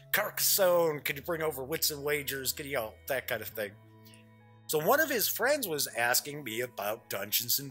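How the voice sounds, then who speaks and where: A man's voice: medium pitch, sharp tone. A middle-aged man hosting a tabletop gaming web show, chatting casually to viewers in a rambling, self-correcting way.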